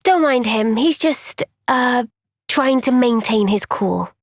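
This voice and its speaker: An actress playing a young soldier heroine in a video game, earnest, gentle and resolute, speaking with soft, measured sincerity. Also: female voice, on the high side, animated, no room sound, clean studio sound, exaggerated voice. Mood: calm, resolute. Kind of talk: video game cutscene voice acting.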